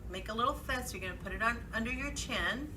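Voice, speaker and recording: A woman's voice: on the high side, fast talker, background noise. A woman presenting a children's storytime, teaching in simple, repeated phrases as she guides young listeners through activities.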